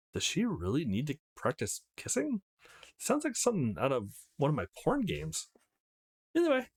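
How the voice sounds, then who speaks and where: A man's voice: fairly high pitch, no echo, clean studio sound, pitched above the performer's own voice. A man voicing an easygoing young male protagonist of a visual novel, casual and wry. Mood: nervous, intrigued. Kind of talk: acted visual novel read-aloud.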